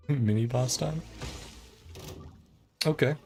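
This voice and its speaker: A man's voice: mid-range voice, drawn-out words, darkish tone, faint music behind. A man giving casual live commentary as a game streamer, rambling and thinking aloud as he plays.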